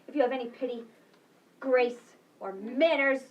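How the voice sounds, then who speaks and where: A woman's voice: high voice, normal speed, recorded from a distance, lo-fi sound, lightly acted. A woman playing a wronged, bitterly indignant young woman in an amateur Shakespeare read-through, declaiming accusingly in verse. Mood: bitter, resigned.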